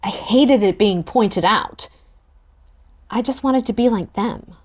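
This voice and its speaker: A woman narrating a first-person novel in a relaxed, expressive table-read style. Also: mid-range voice, takes it slowly.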